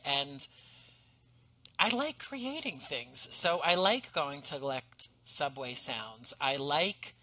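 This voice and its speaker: A man in his thirties speaks over a headset on a video call from home, telling stories with eager enthusiasm. His voice is bright and forward. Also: sits high, rough recording. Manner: animated, enthusiastic storytelling. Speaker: podcast guest, audio drama creator. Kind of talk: casual interview. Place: home room, indoors.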